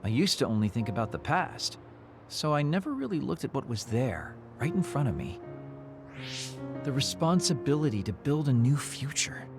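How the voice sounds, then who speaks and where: A man playing a laid-back young video game character, casual and a little theatrical, with melancholy under the playfulness. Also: medium pitch. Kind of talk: English video game voice acting. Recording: clear music behind the voice.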